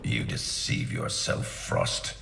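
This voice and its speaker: An actor playing a stern, grave ninja clan leader and mentor in a video game, solemn and commanding, with a gravelly voice. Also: male voice, slow pace, close to an everyday voice. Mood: stern, reproachful. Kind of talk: cinematic video game acting. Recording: roomy, distant, loud music and machinery.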